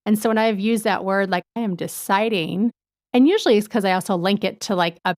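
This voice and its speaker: Woman in her fifties, podcast co-host, thoughtful, searching for words, close dry recording, medium pitch. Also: a little fast, darkish tone, no room sound. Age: 50s. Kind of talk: reflective casual chat.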